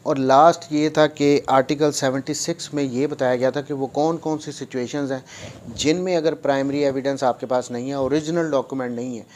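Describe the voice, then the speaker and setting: A man with a Pakistani accent giving an explanatory law lecture, switching into English legal terms, with didactic repetition and deliberate reading of clauses. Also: medium pitch, fairly even intonation, darkish tone.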